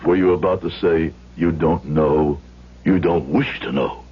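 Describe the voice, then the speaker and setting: An actor playing a grieving, vengeful middle-aged shoemaker with formal, deliberate speech, in a 1970s radio drama style. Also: male voice, very deep voice, breathy edge, full character voice. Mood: bitter accusing. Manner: formal, deliberate, rhetorical questions. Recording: muffled, noisy old radio broadcast.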